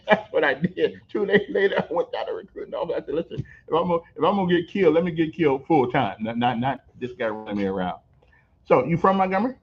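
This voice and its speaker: An older man hosting a casual on-location interview, telling stories in an animated way, repeating words and laughing as he goes. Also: on the high side, fast. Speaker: host. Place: on location at a veterans center. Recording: dull lo-fi recording.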